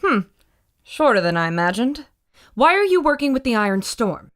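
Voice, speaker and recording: Woman as a lively adventurer heroine. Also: a little high, normal speed. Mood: skeptical. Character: resourceful, quick-thinking adventurer heroine. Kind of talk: old-time radio adventure acting.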